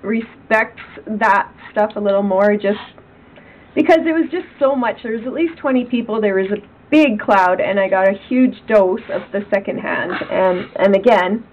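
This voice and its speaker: Raspy-voiced woman, emphatic podcast co-host. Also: mid-range voice, moderate pace, highs cut off, a little hiss or hum. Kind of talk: casual panel chat.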